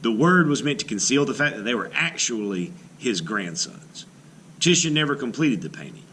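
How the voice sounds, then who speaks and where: A man's voice: medium pitch, moderate pace, slight background noise. A man teaching art, reading a painter's biography aloud and then walking through a timeline with casual asides. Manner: lively, emphatic read-aloud delivery with asides.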